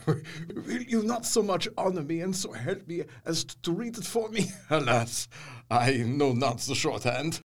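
An actor playing an effusive, courtly older foreign doctor in a gothic audio drama, with florid, earnest phrasing. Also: male voice, a little high, animated, a little slow. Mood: delighted, effusive.

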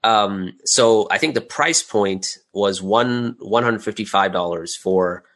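A man's voice: on the low side, slow pace, a bit bright. A man speaking as an interview guest, telling anecdotes in a casual, conversational and candid way about his own work. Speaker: interview guest, audio drama creator.

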